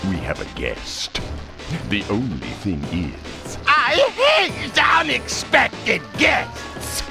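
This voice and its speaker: Pompous frog villain, wildly swooping pitch. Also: male voice, huge pitch swings, over music, full character voice. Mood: mock-polite menace. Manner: theatrical, mock-polite, drawn-out, bursting into shouts. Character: pompous male frog crime boss, aristocratic, explosive temper. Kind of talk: cartoon video game villain acting.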